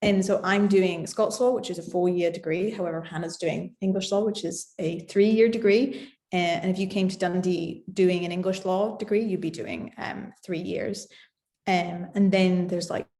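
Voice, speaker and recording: A woman's voice: on the low side, steady tone, moderate pace, warm tone, reverberant. A woman, a law student, chatting casually while answering a question about degrees.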